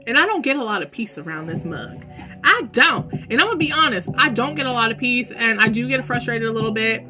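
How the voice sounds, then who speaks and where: A young woman with a loud voice answering viewer questions in a casual vlog, chatty and saying "like" a lot. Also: on the high side, lively intonation, brisk, music in the background. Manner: chatty, says "like" often. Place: room at home with dogs.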